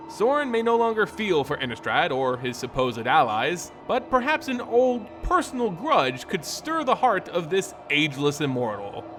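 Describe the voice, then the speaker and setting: Animated, high-pitched male story narrator over music. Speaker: lore channel narrator. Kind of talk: dramatic scripted story narration. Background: background music.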